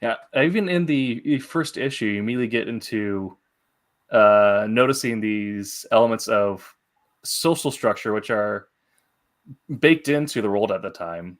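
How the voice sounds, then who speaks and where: Lowish-voiced man hosting an interview. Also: measured pace. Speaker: interview host. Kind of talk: conversational interviewing.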